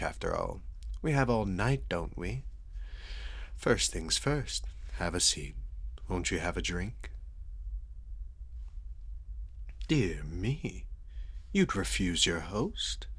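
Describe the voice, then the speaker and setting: A courtly, predatory male vampire, acted by a man, speaks very low and husky, close and dry. His ASMR roleplay manner is suave and teasing, with formal politeness laid over menace. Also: a bit bright, no echo, heavily acted. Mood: courtly, coaxing. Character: sadistic, seductive, courtly male vampire lord. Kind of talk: ASMR roleplay, slow intimate dramatic delivery.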